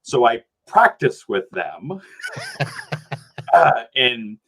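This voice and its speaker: A man, a podcast guest chatting casually, animated and rambling, laughing often. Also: medium pitch, extremely slow, creaky, husky. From New Hampshire. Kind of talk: casual sports chat.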